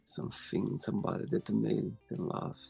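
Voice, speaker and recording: A man with a Brazilian accent hosts a relaxed interview, his voice gravelly and a little husky. He gushes with enthusiasm and pads his sentences with "you know." Faint music plays underneath. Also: lowish voice, moderate pace. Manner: effusive, many "you know" fillers. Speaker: host. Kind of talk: casual interview.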